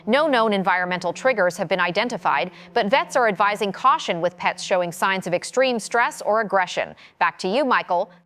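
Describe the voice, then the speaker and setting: A woman's voice: medium pitch, expressive, a little fast, few pauses, a bit bright, natural voice. Businesslike actress as a female field reporter. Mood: serious, businesslike. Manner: formal, factual. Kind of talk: scripted news report in audio drama. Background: music.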